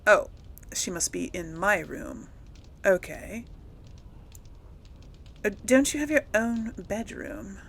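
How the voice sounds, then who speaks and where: A woman's voice: mid-range voice, animated, slow, gravelly, pauses often, a bit bright, a little hiss or hum. A woman hosting a casual role-play game playthrough, thinking aloud and hesitating as she goes. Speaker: video game player and series host.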